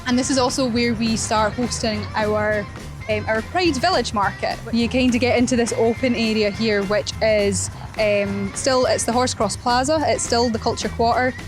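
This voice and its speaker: A woman's voice: on the high side, steady tone, moderate pace, over music. A young woman with a Scottish accent, a local Pride organiser chatting outdoors in a sunny plaza with lots of ums and restarts. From Perth, Scotland. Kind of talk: casual spoken interview and walking tour.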